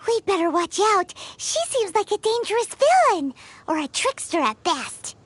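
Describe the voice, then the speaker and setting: Very high, squeaky little pixie. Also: female voice, sing-song, moderate pace. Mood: wary. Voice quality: squeaky, childlike. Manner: chirpy, refers to herself in third person. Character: tiny childlike female pixie companion, cheeky and naive. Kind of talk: video game voice acting.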